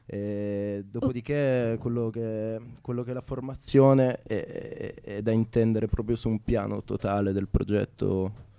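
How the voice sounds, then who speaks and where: A man's voice: on the low side, steady tone, unhurried, warm tone, smooth, no echo. A man chatting casually on the radio in a playful, mock-formal manner. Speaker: theatre technician and occupier, interviewing a colleague. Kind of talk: casual unscripted radio chat.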